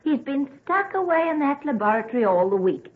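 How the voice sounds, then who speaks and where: A motherly older lady in an old radio play, solicitous, lively intonation, tinny thin audio. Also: high-pitched, brisk. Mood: kindly, concerned. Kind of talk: old-time radio drama acting.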